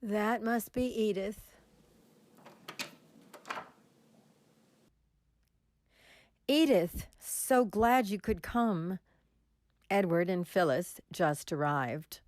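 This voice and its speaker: A woman's voice: mid-range voice, takes it slowly, a little mellow, no room sound, close to an everyday voice. A woman playing a lonely, hurt spinster. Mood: welcoming. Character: lonely single middle-aged woman, hurt, self-doubting. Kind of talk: radio drama acting.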